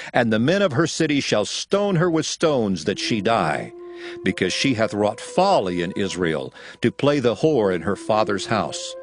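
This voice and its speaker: Expressive male Bible narrator over music. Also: on the low side. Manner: solemn, measured, expressive. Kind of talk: dramatized scripture reading.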